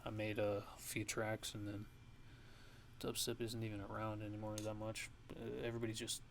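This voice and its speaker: A man giving a casual music production tutorial in a halting, laid-back, ASMR-style way, talking offhand. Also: on the low side, monotone, creaky, slight background noise.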